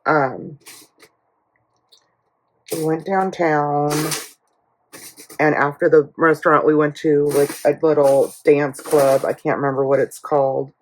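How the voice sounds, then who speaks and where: A hoarse woman telling a rambling family story in a casual budgeting vlog, full of fillers and asides. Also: sits low, little pitch movement, slow, long pauses, a little mellow, slight background noise, rough recording. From United States. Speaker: budgeting YouTuber. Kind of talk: casual chatty vlog while cash stuffing.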